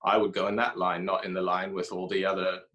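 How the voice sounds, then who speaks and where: A man, an author being interviewed, answering in a reflective way with frequent pauses and restarts; he grew up in Haiti and Ethiopia. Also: deep voice, flat delivery, fairly bright tone.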